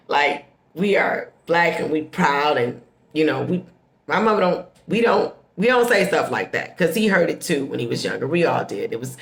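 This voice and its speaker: A middle-aged American woman from the Midwest telling personal stories casually, with expressive emphasis and repeated phrases. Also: low-pitched, gravelly. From Midwestern United States. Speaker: host. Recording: roomy, echoing sound.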